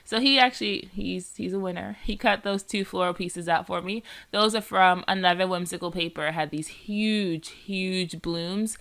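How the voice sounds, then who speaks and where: A woman's voice: lowish voice, steady tone, moderate pace, vocal fry, few pauses, a bit bright. A woman with a nasal, congested voice from a cold, chatting casually as she narrates her scrapbooking process, rambling with lots of "like" and "um". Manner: chatty and rambling, often saying "like" and "um". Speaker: scrapbooking vlogger.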